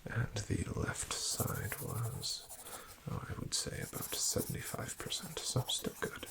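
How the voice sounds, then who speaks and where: A man doing a soft-spoken ASMR doctor roleplay, talking slowly in a gentle half-whisper with hesitant fillers.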